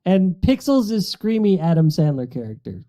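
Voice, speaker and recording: A man giving measured, even-handed opinions in a casual podcast panel chat. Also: sits high. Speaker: podcast co-host.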